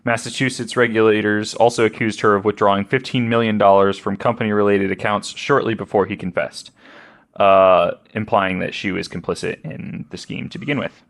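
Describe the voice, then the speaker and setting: A man's voice: lowish voice, fairly even intonation, a little fast, creaky, a bit bright. A man hosting a podcast, reading out a true-crime account with joking asides. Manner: joking, laughs often. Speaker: podcast host.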